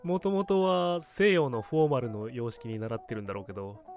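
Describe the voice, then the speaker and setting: A man acting in a radio drama as a calm, wry barber. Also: mid-range voice, brisk, faint music behind. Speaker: narrator and lead voice actor.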